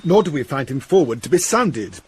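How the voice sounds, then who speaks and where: An actor playing a guarded, formal young courtier in a Shakespearean drama, with a husky voice. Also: male voice, a little high, big pitch swings, a little fast, a little hiss or hum. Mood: earnest. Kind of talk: theatrical Shakespearean radio drama.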